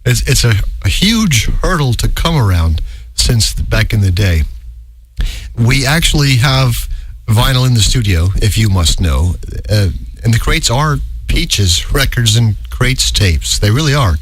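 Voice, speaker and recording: A man's voice: mid-range voice, very animated, measured pace, slightly rough, dry recording. A middle-aged man hosting a live radio show in a studio, chatting in a rambling, self-correcting way. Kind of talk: casual live radio patter.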